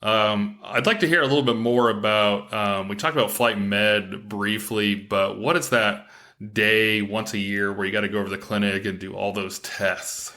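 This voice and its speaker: A man hosting a casual interview, telling anecdotes in an animated way and acting out bits of conversation. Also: fairly low pitch, moderate pace. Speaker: podcast host, former military air traffic controller.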